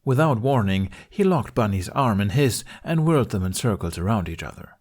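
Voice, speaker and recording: A man's voice: on the low side, expressive, fairly quick, darkish tone. A man reading a scripted story aloud as its narrator. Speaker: fan fiction podcast narrator.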